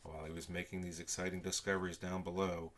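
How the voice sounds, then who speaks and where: An older man reading a children's story aloud with expressive narration. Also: sits low, quick pace.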